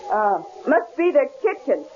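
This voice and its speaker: Headstrong wife, very high voice. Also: female voice, sing-song, a little slow, darkish tone. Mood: bold, curious. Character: headstrong, inquisitive wife, easily shaken. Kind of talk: 1970s radio drama acting. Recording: dull, noisy old radio broadcast recording.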